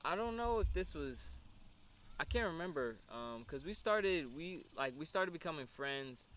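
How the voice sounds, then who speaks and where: A man's voice: on the high side, animated, measured pace, a bit bright. A man, hosting a casual interview. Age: 20s. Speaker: interview host. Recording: clear background noise.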